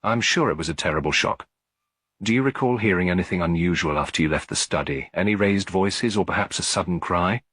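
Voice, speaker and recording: Man acting elderly English lady detective, gentle, shrewd, slightly husky, storybook mystery. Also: sits low, fairly quick, no echo. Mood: gently probing. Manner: soft, sympathetic, politely probing.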